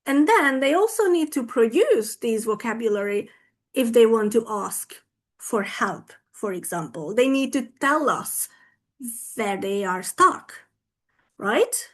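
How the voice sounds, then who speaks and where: A woman whose first language is Hungarian gives a talk at an online teaching conference, her voice sitting a little high. She speaks slowly and deliberately, regularly pausing to check that her listeners are following. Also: expressive. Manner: slow, deliberate, often checks in with "right?".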